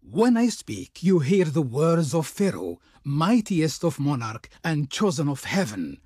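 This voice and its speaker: An actor playing a haughty, pompous envoy of an ancient Pharaoh in a video game, speaking in ornate, declamatory style. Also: male voice, on the high side, sing-song, measured pace, warm tone, husky, no room sound, studio quality, heavily acted. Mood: pompous, commanding. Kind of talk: theatrical video game voice acting.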